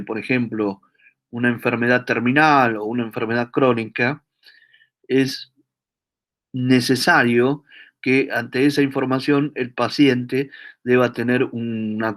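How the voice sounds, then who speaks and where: A man with an Argentine accent, speaking as a guest lecturer in an academic seminar. He weighs his words slowly, with pauses and tag questions, and his pitch sits in a middle range. He is heard over a video call. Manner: thoughtful, hesitant, frequent tag questions.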